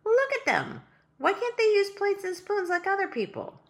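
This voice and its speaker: A woman doing a high, prim little girl. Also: sing-song, normal speed, heavily acted.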